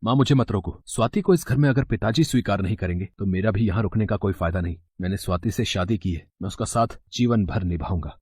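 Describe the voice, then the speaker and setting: A man's voice: lowish voice, fast, few pauses, darkish tone, slightly rough, no echo, clean studio sound. A man voicing an earnest, loyal young husband. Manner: earnest and emotional. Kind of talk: animated storybook voice acting.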